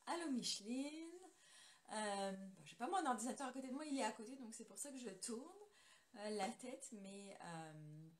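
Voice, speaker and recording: Chatty higher-voiced woman streaming a craft lesson. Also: expressive, moderate pace. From France. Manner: chatty and rambling, greets viewers by name. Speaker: craft demonstrator hosting a live stream. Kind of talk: casual live tutorial chat. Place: home craft studio. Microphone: computer camera mic.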